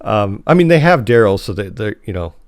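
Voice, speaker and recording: A man's voice: on the low side, very animated, a little fast, no room sound. A man co-hosting a podcast, chatting informally and enthusiastically like a fan. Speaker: podcast co-host. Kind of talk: casual chat about TV shows.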